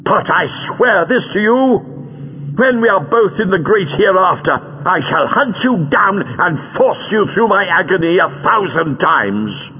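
Man voicing a fierce, high-pitched warrior woman. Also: sing-song, unhurried, sharp tone, husky, long reverb tail, highs cut off, full character voice. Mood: scornful defiance. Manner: defiant, hissing, solemn vows. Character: fierce, savage, scornful young warrior woman. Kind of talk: dramatic audiobook character voice.

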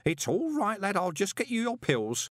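Man putting on a high-pitched, gossipy old postal worker. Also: sing-song, moderate pace, no echo. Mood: soothing. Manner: gossipy, rambling, ingratiating. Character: chatty, conspiratorial elderly male postal worker, deferential.

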